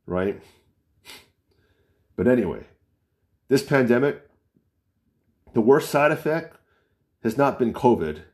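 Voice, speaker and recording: A man's voice: on the low side, darkish tone. A man from the United States speaking off the cuff in an opinion monologue, full of rhetorical questions and restarts. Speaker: political commentator.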